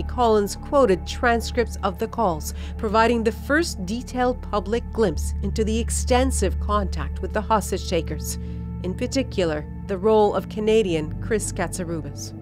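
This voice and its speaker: A woman news correspondent reading a scripted documentary report in a measured, dramatic storytelling way. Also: mid-range voice, a little mellow. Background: music bed under the voice-over.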